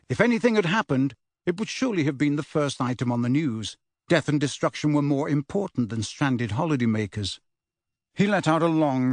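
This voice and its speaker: A man's voice: medium pitch, no room sound. Man narrating a novel, very dry sound. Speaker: audiobook narrator. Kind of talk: scripted story narration.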